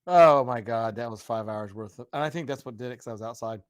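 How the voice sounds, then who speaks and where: A man co-hosting a livestream with casual, jokey banter, his voice raspy and hoarse from talking all day. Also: mid-range voice, fast, no room sound, studio quality. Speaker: livestream co-host.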